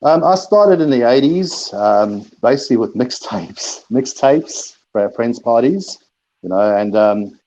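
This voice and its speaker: A man's voice: mid-range voice, expressive, a little slow, dark tone, lo-fi sound. A middle-aged man from South Africa, a DJ and producer, answering interview questions in a rambling way, repeating words and laughing often.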